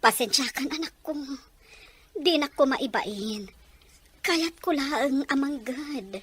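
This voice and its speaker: A woman's voice: sits high, animated, slow, a little hiss or hum. A woman playing an anguished, ill-fated mother in a melodramatic Ilocano drama. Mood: ashamed, pleading.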